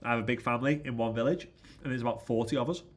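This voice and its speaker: A congested man giving an energetic motivational business training talk, often checking in with "right?". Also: mid-range voice, racing. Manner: energetic, keeps asking "right?". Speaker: business coach.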